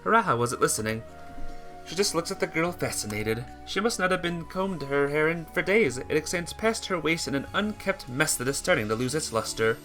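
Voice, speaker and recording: A man narrates a visual novel in an informal amateur read-aloud. His voice is bright and slightly high, with lively intonation, and he trips over words now and then. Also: brisk, soft background music. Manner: stumbles over words and rereads phrases.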